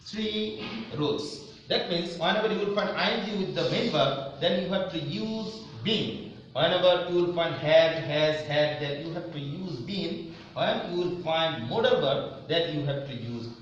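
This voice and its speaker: A man with a fairly high-pitched voice gives a classroom-style grammar lesson. He is emphatic and instructional, repeating key phrases. The room echoes strongly, with faint noise behind him. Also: expressive, unhurried, highs cut off. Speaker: English grammar teacher.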